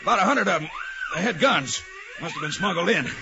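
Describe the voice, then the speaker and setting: A man's voice: sits high, wildly swooping pitch, a little fast, vocal fry, a crowd in the background, exaggerated voice. A man playing a hurt prison guard reporting urgently with a strained voice, in 1940s radio drama style. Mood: pained urgency. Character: wounded, breathless male prison guard reporting a breakout. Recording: noisy, dull vintage 1940s radio recording.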